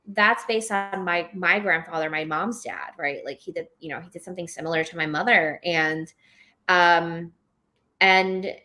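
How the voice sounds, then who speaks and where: A woman from Ecuador and New York talks casually as a guest author, halting and then rushing as she explains. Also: fairly low pitch, pushed and bright. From born in Ecuador, moved to New York at six or seven. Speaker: novelist, guest at a book club. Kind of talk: casual live discussion about writing.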